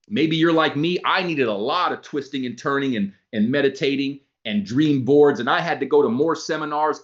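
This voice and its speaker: A man giving a motivational talk to a group, animated, acting out both sides of his stories. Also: a little high. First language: not English. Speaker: motivational speaker. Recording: dull lo-fi sound.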